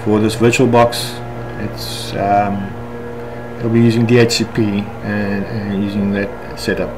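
A man with a South African accent talks through a software screencast step by step, unscripted and halting. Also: on the low side, level tone, over music, poor audio. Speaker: software tutorial instructor. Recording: clear background noise, room ambience.

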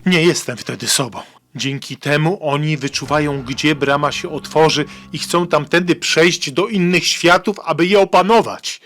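A man acting a grave, protective father in a radio drama, speaking solemnly with dramatic pauses. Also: on the high side, fairly bright tone, slight background noise. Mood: anguished confession. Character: grave protective father, secret guardian of a time gate.

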